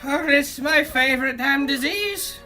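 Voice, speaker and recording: A woman playing a slow, high-voiced boozy cop. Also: lively intonation, over loud music. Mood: wry. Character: hard-drinking, dramatic adult police officer. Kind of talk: parody pulp audio drama acting.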